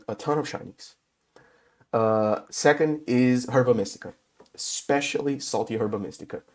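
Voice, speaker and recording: A man who is sick with a cold, talking through a casual game tutorial in English, his second language, with many pauses and filler sounds. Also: mid-range voice, darkish tone. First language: not English. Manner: halting, many filler uhs. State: has a cold. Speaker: gaming video host.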